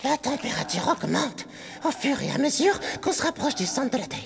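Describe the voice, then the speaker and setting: High-voiced sensible cartoon microbe. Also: female voice, big pitch swings, normal speed, creaky, a loud crowd, exaggerated voice. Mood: cautious, matter-of-fact. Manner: explanatory, hesitant objections. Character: sensible, cautious, factual microbe friend. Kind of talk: French cartoon dub acting.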